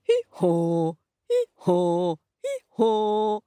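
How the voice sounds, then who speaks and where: A woman's voice: lowish voice, very animated, unhurried, dry recording, studio quality. A woman voicing a vain, cheery male donkey in a storybook, jovial and bossy, full of exclamations. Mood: braying proudly. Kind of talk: storybook character voice.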